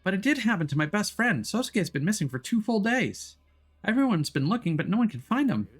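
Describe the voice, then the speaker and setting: An earnest young boy played by a grown man, with a high, lifted voice that swings around as he pleads. He talks urgently and insistently, like a worried kid in a mystery game who needs to be believed. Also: big pitch swings, brisk. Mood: pleading, earnest. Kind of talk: visual novel character voicing.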